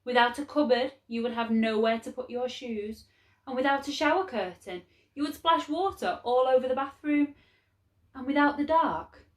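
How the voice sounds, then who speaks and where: A woman reading a story aloud to young children in a measured, expressive way with clear phrasing. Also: high voice, roomy sound.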